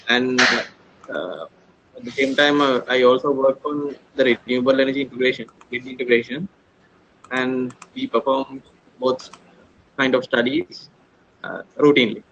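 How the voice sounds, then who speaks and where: A man, from Sri Lanka, introducing his work on a video call, measured with frequent uhs. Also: medium pitch, a bit bright, slight background noise. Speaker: webinar participant, utility planner. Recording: dull lo-fi call audio.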